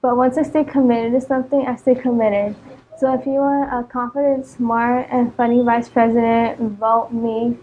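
A woman's voice: fairly high pitch, level tone, normal speed, phone-quality sound, slight background noise. A teenage girl reciting a prepared campaign speech as a student candidate. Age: teenager, about 14.

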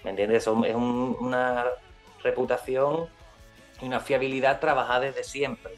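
A man's voice: mid-range voice, fairly even intonation, moderate pace, faint music behind. Man rambling on a video call. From Spain. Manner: rambling, restarts, tag questions. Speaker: guest musician. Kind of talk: casual video call interview. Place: indoor room. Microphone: webcam on video call.

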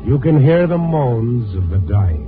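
Hard-boiled radio reporter, muffled recording. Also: male voice, mid-range voice, very animated, unhurried, over loud music. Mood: brooding. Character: hard-boiled, poetic Chicago night-beat newspaper reporter. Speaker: narrator and lead of a radio series. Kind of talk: 1950s radio drama narration. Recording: muffled old radio broadcast.

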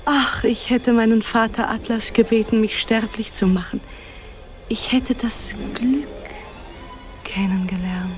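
An actress playing a grieving, noble and tender immortal nymph in a solemn poetic radio drama, breathy and halting, with a tendency to sink toward whispers. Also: female voice, on the high side, steady tone, close to an everyday voice. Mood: wistful, grieving. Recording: music behind the voice.

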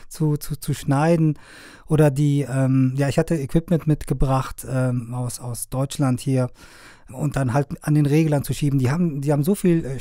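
A middle-aged man from Afghanistan speaks in an unhurried, conversational way. He often pauses and hesitates with fillers. His tone is mellow and his voice sounds dry and close, as if recorded in a studio. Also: mid-range voice, no echo. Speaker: sound engineer and media trainer. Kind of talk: conversational interview answers.

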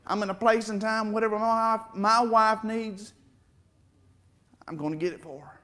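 A middle-aged man preaching from a church stage in a somewhat high voice. He gives a heartfelt testimony, rising into emphatic swells and turning emotional. He is picked up by a camera set back from the stage. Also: steady tone, moderate pace, sharp tone. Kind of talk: sermon testimony. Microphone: far camera.

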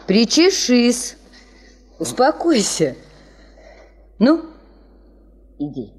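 An actress playing a calm, gently teasing female secretary with a soothing manner, in a 1970s radio drama. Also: a little high, very slow, husky, heavily acted.

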